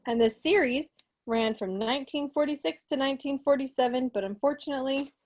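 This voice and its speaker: A woman's voice: sits high, a little fast, a little mellow. A woman in her thirties chatting casually on a home video call, with a playful, self-deprecating manner. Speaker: club quiz presenter. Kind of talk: casual, chatty club meeting talk. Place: small living room at home, video call. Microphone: video call from a seat in an armchair.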